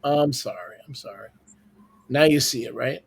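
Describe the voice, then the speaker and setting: A man's voice: medium pitch, normal speed, creaky, slight background noise. A man hosting an informal religious teaching over an online video call, explaining didactically and repeating key words for emphasis.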